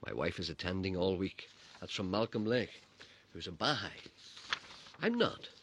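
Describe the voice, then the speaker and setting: A middle-aged man hosting a radio show, chatting playfully with deadpan humor and reading out listener messages. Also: deep voice, moderate pace, slight background noise. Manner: playful deadpan teasing, spins tall tales. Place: radio studio.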